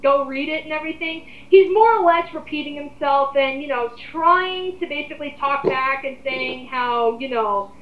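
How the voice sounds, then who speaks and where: Young woman, high voice, blunt rambling commentary, roomy sound. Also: moderate pace, highs cut off. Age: 20s. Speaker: host leading a group discussion. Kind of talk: casual opinionated commentary chat.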